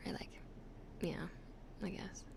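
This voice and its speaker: A young Canadian woman from the West Coast with an accent close to Californian, whispering softly and chatting as an ASMR streamer on a close microphone. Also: slow. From western Canada. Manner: soft whispering, chatty, laughs often.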